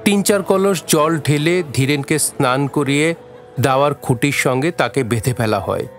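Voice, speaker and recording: Male storyteller over soft background music. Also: fairly high pitch, normal speed. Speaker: narrator. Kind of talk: scripted story narration for audio drama.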